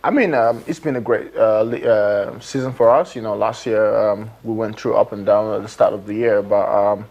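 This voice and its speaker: A man in his twenties from Nigeria, a footballer being interviewed in a TV studio, who talks in halting phrases full of fillers and restarts. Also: on the low side, steady tone, a little mellow, highs cut off. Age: 20s. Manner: hesitant, many fillers and restarts. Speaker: guest footballer.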